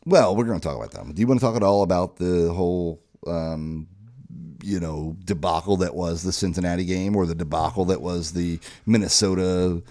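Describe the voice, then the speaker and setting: A man's voice: deep voice, brisk. A man in his forties co-hosting a podcast, chatting and teasing about football picks. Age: 40s. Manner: animated, teasing. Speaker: podcast co-host. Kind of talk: casual sports chat.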